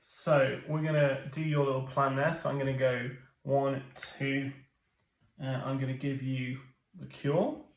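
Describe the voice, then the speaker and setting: A man's voice: medium pitch, level tone, unhurried. A British man in his thirties hosting a board game playthrough, explaining rules and joking. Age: 30s. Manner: chatty, explains rules, jokey asides. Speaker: board game channel host. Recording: echoey room, mic at a distance.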